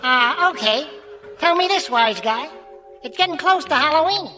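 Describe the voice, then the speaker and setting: A man's voice: very high voice, expressive, normal speed, sharp tone, faint music behind, full character voice. A man voicing a cocky, quarrelsome little ventriloquist dummy with an American accent, heckling in a radio comedy act. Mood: needling. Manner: cheeky, combative, slangy heckling.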